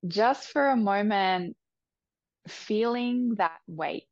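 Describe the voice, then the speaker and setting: A woman leading a guided meditation with soft, soothing instructions. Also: mid-range voice, lively intonation, dry recording, dull audio. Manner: soft, slow, soothing instructions with long pauses.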